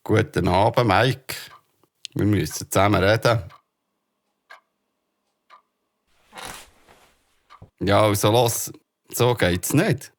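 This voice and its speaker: Guy doing a swiss german dad, earnest and steady, lowish voice. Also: measured pace, close to an everyday voice. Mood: stern. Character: kind but worried father. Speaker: father character. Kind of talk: children's audio drama acting.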